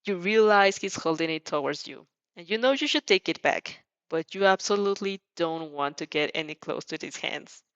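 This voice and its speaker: A woman narrating fan fiction in the second person, expressive and dramatic, now and then stumbling and rereading a line. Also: on the low side, normal speed, hardly any pauses, smooth, no room sound. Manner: expressive, stumbles over words and rereads lines. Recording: dull, muffled lo-fi sound.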